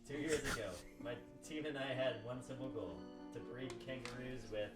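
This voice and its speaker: A man playing a grave, guilt-ridden scientist in a melodramatic, halting amateur radio-play read. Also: medium pitch, recorded from a distance, lightly acted. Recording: echoey room, off-mic, loud music.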